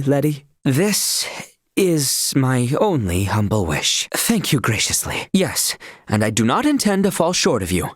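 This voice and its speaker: An actor playing an earnest, proud young royal attendant in a video game, speaking in a formal, stiffly courteous, archaic way. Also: male voice, medium pitch, no echo, clean studio sound. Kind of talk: scripted game dialogue, archaic formal English.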